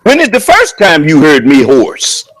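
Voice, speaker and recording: High-voiced guy preaching loud, booming and emphatic, lots of rhetorical questions. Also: wildly swooping pitch, measured pace, low-quality recording. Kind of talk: sermon at a prayer service.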